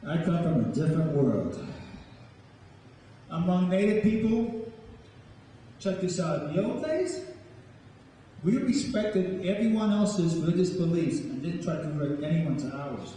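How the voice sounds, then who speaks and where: Slow, high-voiced male storyteller in echoing hall. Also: animated, mellow. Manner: deliberate, dramatic storytelling delivery. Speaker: spoken word poet and storyteller. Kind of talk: dramatic poetry reading and talk to a live audience. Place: large echoing room with a live audience. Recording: echoey hall, distant mic, faint noise.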